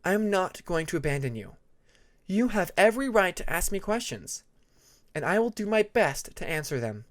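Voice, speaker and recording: A narrator voicing a young female dragon who is earnest and thoughtful, with a measured manner of explaining. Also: mid-range voice, big pitch swings, pitched above the performer's own voice, lightly acted. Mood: determined.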